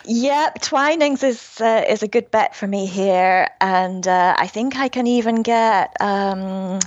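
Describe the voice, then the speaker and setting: A British woman, an author being interviewed, who talks warmly and laughs often. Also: medium pitch, slow pace, no echo, highs cut off. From Britain. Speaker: guest author. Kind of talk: casual interview.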